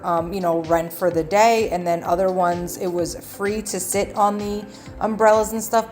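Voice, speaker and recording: Female travel vlogger, slightly low voice, chatty and earnest, faint music underneath. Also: darkish tone. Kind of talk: casual travel guide narration. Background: background music.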